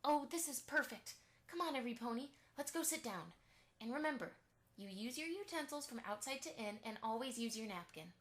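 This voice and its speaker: Chatty, eager-to-please cartoon pony mother for radio play, female performer; fairly high voice, effusive. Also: expressive, a little fast, a bit bright. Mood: excited, bossy. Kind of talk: fan radio play acting.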